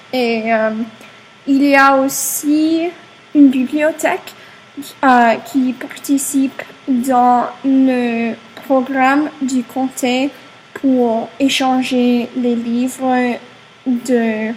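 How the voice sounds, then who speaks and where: A young woman with an American accent and English as her first language, giving an informal presentation haltingly as a language learner, searching for words. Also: high-pitched, a little mellow, a little hiss or hum, poor audio. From Cortland, New York, United States. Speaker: French learner.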